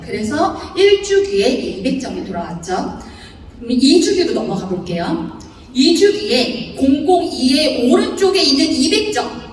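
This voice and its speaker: Lively woman lecturing in an echoey hall. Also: sits high, slight background noise. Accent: Chungcheong Korean. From Seocheon, South Chungcheong, Korea. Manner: lively, laughing often, asks the audience questions. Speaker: seminar speaker. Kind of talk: seminar lecture explaining a bonus chart. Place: large lecture hall before about 800 people. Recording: echoey hall recording from far off.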